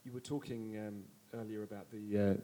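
A man's voice: on the low side, fairly even intonation, a little fast, mellow, a little hiss or hum. A man moderating a discussion, asking a hesitant question with ums and uhs.

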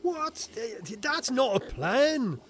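A man's voice: on the high side, very animated, unhurried, vocal fry, slight background noise, full character voice. A man playing an exasperated, self-important Victorian police inspector who stammers and gets indignant in an audio comedy. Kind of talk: scripted audio comedy acting.

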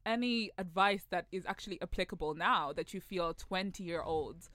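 A woman's voice: lowish voice, normal speed, fairly bright tone, no echo. Candid young woman, dry close sound. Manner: candid, sweary, slangy. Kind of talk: casual group chat.

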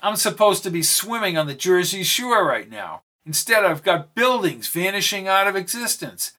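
A man's voice: high voice, lively intonation, moderate pace, sharp tone, pitched above the performer's own voice. A man voicing an irritated middle-aged male government official who blusters and complains. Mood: exasperated. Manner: blustering and exasperated.

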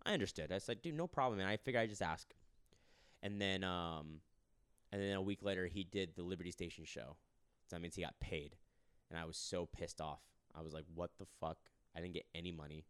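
A low-pitched man talks quickly on a casual podcast. His voice is smooth and close, with a dry, dead room around it. He tells his stories by slipping into the voices of the people in them. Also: a bit bright, no echo. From Arizona. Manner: storytelling, acts out quoted people. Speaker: podcast host and stand-up comedian.

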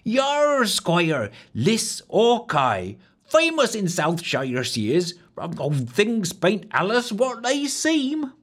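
A nervous young barmaid with a burr and rustic speech, as a man puts her on in a high falsetto. Her voice swoops up and down as she frets, and she speaks slowly and carefully. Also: wildly swooping pitch, fairly bright tone, slightly rough, heavily acted. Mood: fearful.

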